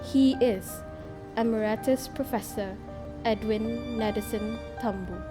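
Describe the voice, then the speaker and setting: A woman's voice: a little high, measured pace, music in the background. A woman reading a formal scripted introduction as a voice-over. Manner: formal, reading a prepared biography. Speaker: interview host narrator.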